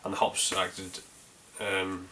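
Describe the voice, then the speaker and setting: A man's voice: low-pitched, monotone, slow pace, sharp tone, a little hiss or hum, low-quality recording. A man with a North Yorkshire accent chatting casually through a beer review, rambling a little with plenty of ums and restarts. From North Yorkshire, England.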